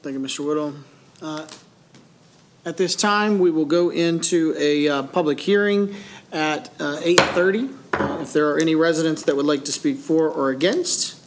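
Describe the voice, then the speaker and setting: A man's voice: on the high side, moderate pace. A man with a slightly raised voice chairs a council meeting in a formal, procedural way. He keeps things moving from one item to the next. Faint room noise sits beneath him.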